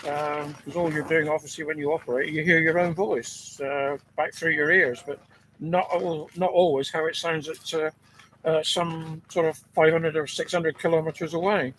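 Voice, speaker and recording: A man's voice: moderate pace, a little hiss or hum, rough recording. Man on ham radio from a field station. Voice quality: deep voice. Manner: relaxed, hesitant with fillers. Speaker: amateur radio operator. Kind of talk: ham radio contact chat. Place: outdoor field station on a generator.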